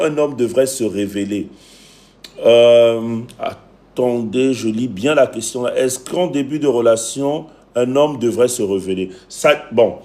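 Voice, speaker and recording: A man's voice: mid-range voice, darkish tone. A man with a Cameroonian accent coaching viewers on a livestream, preaching emphatically and repeating phrases for effect. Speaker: relationship coach and live stream host. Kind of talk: preachy livestream talk to viewers, greeting commenters.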